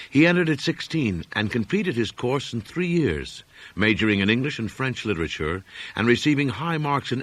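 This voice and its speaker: Husky low-voiced man narrating, widely swooping intonation. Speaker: audiobook reader. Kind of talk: scripted literary narration of a horror story.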